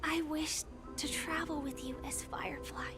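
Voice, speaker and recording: An actress playing a gentle, earnest young woman in a game, speaking softly and confidingly. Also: high-pitched, fairly even intonation, moderate pace, close to an everyday voice. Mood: tender. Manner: soft, hushed, confiding. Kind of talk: game voice acting. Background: game music.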